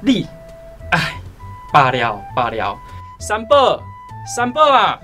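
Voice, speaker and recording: An actor in a radio drama playing an earnest young scholar who pleads and remonstrates like a concerned friend. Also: male voice, a little high, huge pitch swings, slow pace, pushed and bright, soft background music, exaggerated voice. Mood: exasperated. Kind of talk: Taiwanese radio drama acting.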